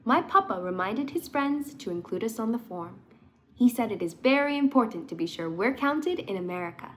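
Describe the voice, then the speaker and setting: A woman's voice: on the high side, animated, normal speed, a little hiss or hum, close to an everyday voice. A woman voicing a sweet young immigrant girl who tells her story simply and earnestly, as in a children's storybook. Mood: earnest, friendly.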